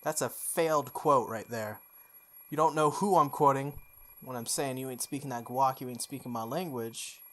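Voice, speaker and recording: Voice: mid-range voice, a bit bright. A game playthrough host expressively reading story text aloud, stumbling and correcting along the way, with off-the-cuff asides.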